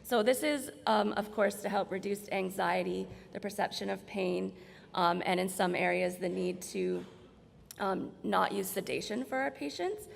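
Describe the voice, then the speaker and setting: A woman with a slightly hoarse voice gives an informal, explanatory slide presentation in a large room. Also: medium pitch, moderate pace, a little hiss or hum. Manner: explanatory, conversational. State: slightly hoarse, losing her voice. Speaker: presenter from hospital staff. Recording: reverberant hall.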